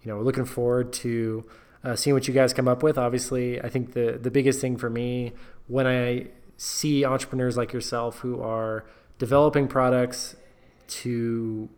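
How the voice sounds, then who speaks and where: Male podcast host, mid-pitched, casual and encouraging, filler-heavy. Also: level tone, moderate pace, a little mellow, clear, resonant tone. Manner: upbeat, encouraging, many fillers. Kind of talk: casual interview chat.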